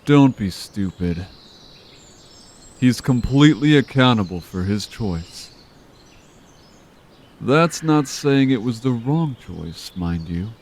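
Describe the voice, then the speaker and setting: A man voicing a calm, manipulative villain leader who taunts in an unhurried, smooth way in an audio drama. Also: medium pitch. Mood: taunting. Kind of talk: amateur audio drama acting.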